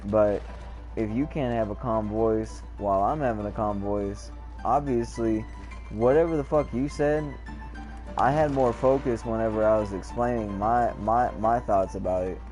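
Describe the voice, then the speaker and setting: A man chatting casually while playing an online game, rambling in a calm, lecturing way, repeating words and swearing freely. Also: lowish voice, moderate pace, soft background music. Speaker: gamer chatting in party.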